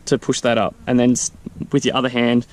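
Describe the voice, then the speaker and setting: A man casually walking through his vehicle camping setup, explaining in a relaxed, practical way with fillers. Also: mid-range voice, a little fast. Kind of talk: casual walkthrough vlog. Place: outdoors beside a vehicle in a grassy field. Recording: faint wind noise outdoors.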